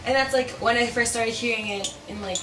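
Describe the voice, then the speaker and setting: High-voiced woman from Mexico, distant and echoey. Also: fairly quick, music in the background. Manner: chatty, many "like" fillers. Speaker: co-host. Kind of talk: casual chat.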